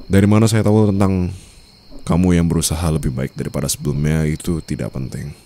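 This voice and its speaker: Very deep, gravelly mafia boss, acted by a man. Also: fairly even intonation, mellow. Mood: smug menace. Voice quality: very deep, gravelly, often dropping to a breathy murmur. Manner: slow, smug, formal and controlled. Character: menacing, teasing mafia boss, newly wed husband. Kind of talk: ASMR romance roleplay.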